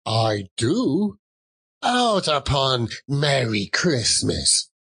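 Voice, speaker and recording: A man's voice: medium pitch, sing-song, extremely slow, fairly bright tone, slightly rough, heavily acted. A man voicing a bitter, grumpy old miser in a storybook, contemptuous and emphatic, drawing out his exclamations. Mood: scornful, indignant.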